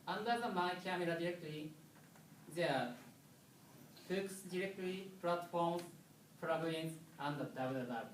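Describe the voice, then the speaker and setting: A man from Japan with a Japanese accent, a non-native English speaker giving a technical conference presentation with halting, pause-filled phrasing. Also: fairly high pitch. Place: lecture room. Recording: echoey room, distant mic, faint noise.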